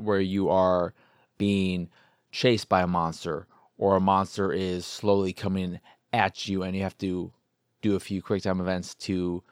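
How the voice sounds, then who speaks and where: A man's voice: low-pitched, no echo, studio quality. A man hosting a podcast, talking casually on his own as he reviews games, rambling and circling back to rephrase his points. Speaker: podcast host. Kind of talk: casual solo video game review talk.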